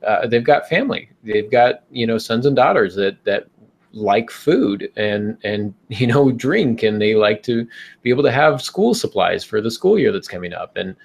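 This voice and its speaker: Male podcast host, slightly low voice, measured and reassuring, feeling his way through casual advice. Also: animated, creaky.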